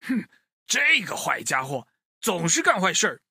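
A man's voice: sits high, wildly swooping pitch, measured pace, gravelly, pushed and bright, slightly rough, no echo, clean studio sound, exaggerated voice. A man voicing a bold, helpful elder brother bear in a children's story, resolute and eager. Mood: determined.

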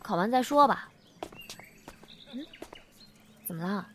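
A woman playing a teasing, proud teenage schoolmate in an audio drama, expressive and by turns offhand and dramatic. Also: fairly high pitch, slow, a little hiss or hum. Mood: casual. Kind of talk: Chinese audio drama acting.

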